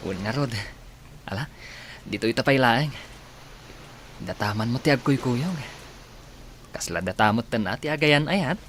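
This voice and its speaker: A man's voice: mid-range voice, lively intonation, a little slow, long pauses, a bit bright, slight background noise. A man with an Ilocano Filipino accent playing an easygoing, teasing young trainee in a radio drama.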